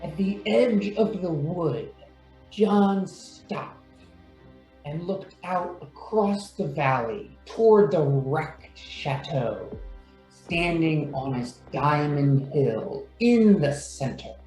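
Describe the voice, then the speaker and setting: A man narrating a radio play in a measured, scripted storytelling manner. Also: a little high, lively intonation, darkish tone. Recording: roomy echo, music underneath.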